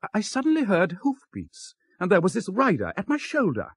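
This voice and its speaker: A man's voice: high voice, huge pitch swings, a little fast, breathy edge, no room sound, full character voice. An actor with a British accent playing a reluctant, agitated educated man in a radio drama, with hesitant delivery. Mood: shaken. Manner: hesitant, stammering repetitions. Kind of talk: 1970s radio drama acting.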